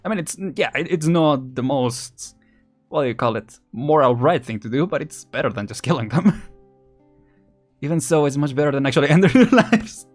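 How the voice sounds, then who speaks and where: A man's voice: on the high side, expressive, normal speed. Casual, rambling male game streamer. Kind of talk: casual live commentary on a video game. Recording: game music behind.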